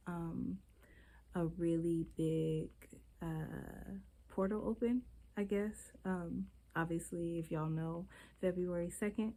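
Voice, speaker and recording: A woman's voice: fairly low pitch, steady tone, takes it slowly, mellow, rough recording. A woman vlogger chatting casually and candidly straight to her viewers, stuffy from a cold, rambling from one thought to the next. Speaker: beauty vlogger.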